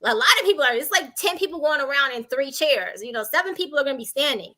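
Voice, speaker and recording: An American woman giving candid conversational advice on a panel, animated and informal, illustrating points with anecdotes. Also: high voice, fast. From Chicago, United States.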